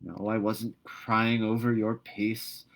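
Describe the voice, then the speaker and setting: A reserved, wistful older woman in a storybook, as a man reads her aloud. The speech is plain and halting with feeling, lifted a little above the reader's low natural voice. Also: level tone, vocal fry, poor audio.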